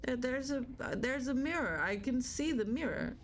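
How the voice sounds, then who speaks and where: A woman's voice: sits high, expressive, moderate pace, slight background noise. A woman streaming a game, playful and teasing, reading her choices aloud as she plays. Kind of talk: casual game commentary.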